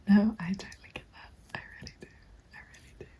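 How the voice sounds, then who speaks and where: A young woman in a gentle, encouraging ASMR roleplay, speaking in a soft breathy whisper. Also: fast talker. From England. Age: young adult. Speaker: ASMR roleplayer playing a witch recruitment agent. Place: small dim room indoors. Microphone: close microphone. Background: computer keyboard typing.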